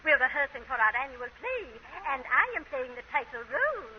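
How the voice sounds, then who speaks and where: An old, slightly noisy radio broadcast features a pompous clubwoman. Her very high voice swoops about in affected, genteel phrases. She is fluttery and easily flustered, in broad 1930s comedy style. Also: very animated, fairly quick, dull audio. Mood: haughty, genteel.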